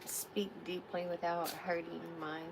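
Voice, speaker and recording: A woman hosting a live tarot reading, giving spiritual guidance in a soft, soothing way. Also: on the low side, flat delivery, heavy background noise. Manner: soft, unhurried, soothing affirmations.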